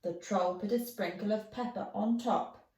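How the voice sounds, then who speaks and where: A woman storyteller reading a rhyming children's tale in an expressive storybook style. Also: medium pitch, normal speed, slight background noise. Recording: roomy, echoey, distant mic.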